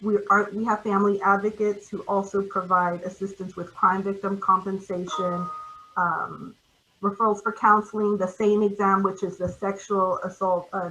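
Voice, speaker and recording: A woman presenting community services informally on a video call, hesitant, with frequent pauses and ums. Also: mid-range voice, pushed and bright, slight background noise. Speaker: community organization representative. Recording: dull, lo-fi video call audio.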